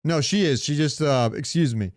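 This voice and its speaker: A man's voice: a little high, moderate pace, darkish tone, no room sound, studio quality. A man co-hosting a podcast, chatting casually with informal, opinionated, sweary talk. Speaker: podcast co-host. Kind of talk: casual chat about comic book movies.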